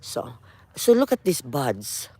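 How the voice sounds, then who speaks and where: A woman's voice: a bass voice, very animated, slow pace, mellow, slightly rough. A middle-aged woman with a Filipino accent gives a casual walk-through of her garden outdoors, chatting loosely and repeating herself with lots of "you know" and "uh". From Philippines. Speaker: gardening vlogger.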